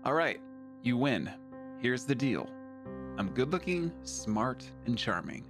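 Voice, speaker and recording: A man's voice: mid-range voice, big pitch swings, slow pace, over music. A man playing a charming, playfully arrogant combat doctor in audio drama dialogue, affectionate beneath the swagger. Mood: cocky, charming.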